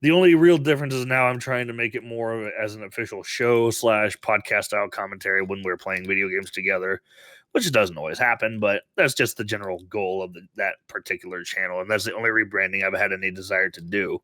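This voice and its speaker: Podcast co-host, male, under the weather, bright edge, giggly, trips over restarts, dry close mic. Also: fairly low pitch, quick pace, dry recording. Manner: laughs often, restarts sentences. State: sick. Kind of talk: casual podcast chat.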